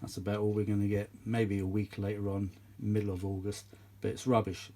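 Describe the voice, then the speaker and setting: A man's voice: on the low side, level tone, brisk, mellow. A British man giving casual, unscripted repair commentary in a rambling, grumbling, blunt way. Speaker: host of vintage audio repair channel.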